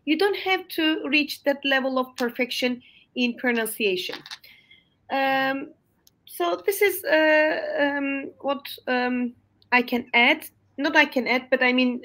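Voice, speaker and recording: A woman's voice: high-pitched, fairly bright tone. A woman with a Turkish accent, a university lecturer speaking hesitantly with fillers on a webinar. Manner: hesitant, many fillers and restarts. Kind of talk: webinar closing remarks.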